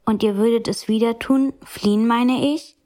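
A woman plays a stern crown princess presiding over a trial in a radio drama. She questions formally and commandingly. Her voice sits slightly high. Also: fairly even intonation, normal speed, dark tone, natural voice. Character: stern young crown princess passing judgment.

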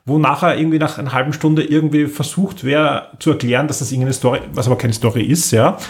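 A man's voice: medium pitch, fairly even intonation, fast. A man hosting a podcast, chatting in a casual, rambling way. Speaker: podcast host. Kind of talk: casual, rambling chat about audio dramas.

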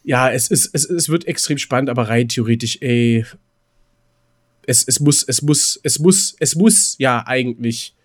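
A man chatting casually as a podcast host, rambling and halting, often repeating his words mid-sentence. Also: medium pitch. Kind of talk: casual chat between co-hosts.

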